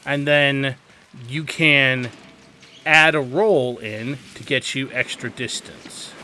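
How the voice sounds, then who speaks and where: A man's voice: medium pitch, slow pace, pushed and bright, a little hiss or hum. A man giving a casual, instructive video game tutorial in an opinionated, emphatic way. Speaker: video game guide host.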